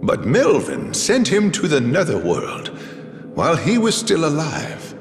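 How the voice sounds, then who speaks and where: A man's voice: fairly high pitch, huge pitch swings, measured pace, roomy sound, long reverb tail, full character voice. A man voicing a fussy, anxious little gnome advisor in a fantasy video game. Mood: pondering.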